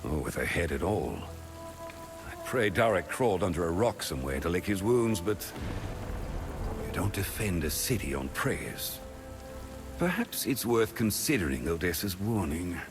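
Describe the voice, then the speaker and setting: An actor playing a grave, troubled veteran city commander, sombre and measured, in a video game. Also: male voice, low voice, very animated, music in the background. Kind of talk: video game character acting.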